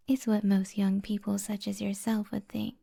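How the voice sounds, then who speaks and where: A woman playing a kind, flirty witch healer in a soft-spoken, half-whispered ASMR roleplay, slow, soothing and gently teasing. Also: medium pitch, creaky, breathy edge, full character voice.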